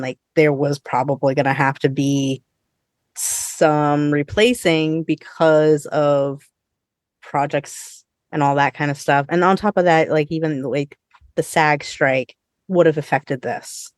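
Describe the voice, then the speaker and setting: A woman's voice: low-pitched, steady tone, unhurried, dry recording, clean studio sound. A woman co-hosting a podcast in casual, conversational chat, often dropping in "like" as she talks. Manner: conversational, frequent "like". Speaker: podcast co-host.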